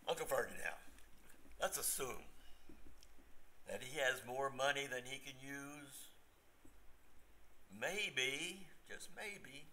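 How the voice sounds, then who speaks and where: An older man playing a scheming, hopeful nephew in a staged reading, halting and deliberate. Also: mid-range voice, bright, forceful tone. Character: scheming, hopeful nephew John, gossiping about uncle.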